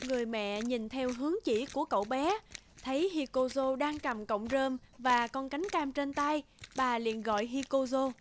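A woman's voice: high voice, normal speed, slight background noise. A woman narrating a scripted children's folktale as a storyteller. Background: background music.

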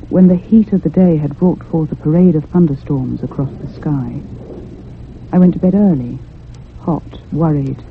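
Restless female narrator for ghost radio play, woman acting: breathy, husky, literary, phone-like recording. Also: deep voice, exaggerated voice. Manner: literary, measured storytelling. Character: anxious, restless woman recounting her haunting. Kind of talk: dramatic first-person radio narration. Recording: tinny, band-limited old radio sound.

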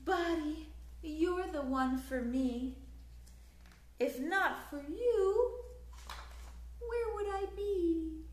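A woman in her thirties reading a picture book aloud to young children in an expressive, sing-song way, recorded in a living room. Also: extremely high pitch, drawn-out words, pauses often, echoey room, a little hiss or hum. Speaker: children's story time host. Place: small living room, indoors on a couch.

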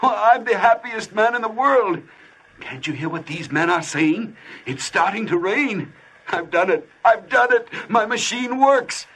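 An actor playing an obsessed older inventor in a vintage western radio drama, speaking in a grandiose, declamatory way. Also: male voice, high voice, expressive, unhurried, fairly bright tone, breathy edge, full character voice. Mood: exultant. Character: obsessed, exultant old inventor of a rainmaking machine. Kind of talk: 1950s western radio acting. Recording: noisy vintage radio recording with clicks.

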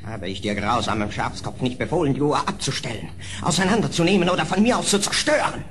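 An actor playing a sickly, melancholic aristocrat in a German radio play, morbidly oversensitive, speaking in agitated, halting bursts. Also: male voice, medium pitch, lively intonation, fairly bright tone, lightly acted. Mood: hysterical rage. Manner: agitated, broken, halting speech with sudden outbursts. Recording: noisy old audio drama record.

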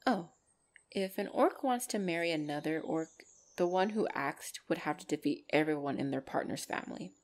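A woman's voice: sits low, moderate pace. A woman playing a loving, bashful and protective orc girlfriend in an intimate ASMR roleplay, speaking tenderly and hesitantly. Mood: affectionate, content. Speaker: voice actor in audio roleplay.

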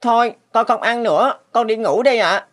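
A woman's voice: a little high, a little fast, long reverb tail, over music, natural voice. A woman voicing a petulant, resentful schoolboy in a cartoon, with a boyish manner. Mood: weary, sulky. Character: sulky, resentful schoolboy older brother. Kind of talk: Vietnamese animated moral tale dubbing.

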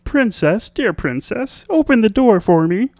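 A man's voice: high-pitched, big pitch swings, a little slow, darkish tone, no echo, studio quality, pitched above the performer's own voice, heavily acted. A man voicing a small male frog in a fairy tale, courteous, pleading and insistent. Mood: insistent, calling. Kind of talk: storybook character voice.

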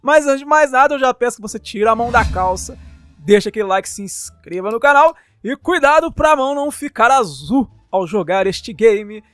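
A man's voice: extremely high pitch, animated, moderate pace, a bit bright, no echo, soft background music. A man with a Brazilian accent hosting a casual game showcase, talking in a jokey, playful, slangy way. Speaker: YouTube channel host reviewing games.

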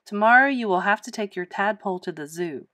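A woman acts out an exasperated parent in a children's storybook. The delivery is sensible, firm and complaining, with animated pitch movement and a dry, close sound. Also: a little fast, no room sound.

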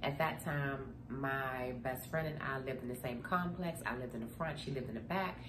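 Woman vlogger, stuffy nose, low voice, chatty storyteller, roomy echo. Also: moderate pace. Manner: chatty, talks to viewers as "girl". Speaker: vlogger answering viewer questions. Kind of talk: casual chat, storytelling. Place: indoor room, seated before framed print. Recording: echoey room with background noise.

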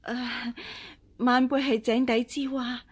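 A scheming empress dowager in a radio play. Her voice is high and husky, and she speaks slowly. She carries imperial haughtiness yet turns hesitant and deferential under pressure. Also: little pitch movement. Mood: meek, evasive. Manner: hesitant, deferential when cornered. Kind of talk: Cantonese radio drama acting.

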